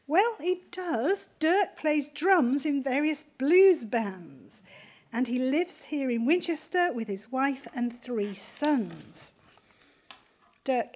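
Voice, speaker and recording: A middle-aged woman with a fairly high voice introduces guest speakers from a lectern. Her delivery is that of a prepared speech to a lecture theatre. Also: darkish tone. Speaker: event host introducing speakers.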